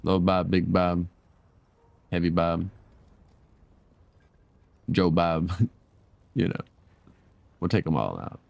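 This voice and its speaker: A man giving casual live commentary as he streams a game, laid-back, slangy and quick to swear. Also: low-pitched, level tone, gravelly, darkish tone, dry recording.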